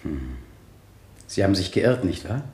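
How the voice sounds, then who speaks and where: A man's voice: sits low, sing-song, measured pace, a little mellow. A man playing a relentless prosecutor cross-examining a witness in a 1970s German radio drama. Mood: pointed, doubting. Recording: roomy radio play studio sound.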